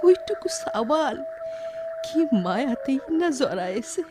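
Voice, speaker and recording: A woman's voice: high-pitched, sing-song, measured pace, over music. A woman playing a loving elderly village mother and grandmother in a Bengali audio drama, tender and plaintive, with a rural Bangladeshi accent. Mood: wistful, sad.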